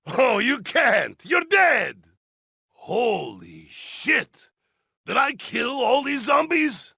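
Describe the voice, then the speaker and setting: Brief: high, raspy, gravelly drunk Russian brute, bellowing battle taunts in broken English for a game. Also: male voice, very animated, very slow, no echo, clean studio sound, heavily acted. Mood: boastful crude. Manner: shouting gleefully, broken English without articles. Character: boisterous drunk Russian Cossack zombie-slayer, crude, boastful. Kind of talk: video game character voice lines.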